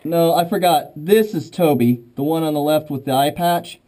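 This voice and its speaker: Wry man in a cave, mellow voice. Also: on the high side, moderate pace. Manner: wry, joking, rambling. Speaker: cave explorer filming. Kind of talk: casual chat while caving. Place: tight underground cave.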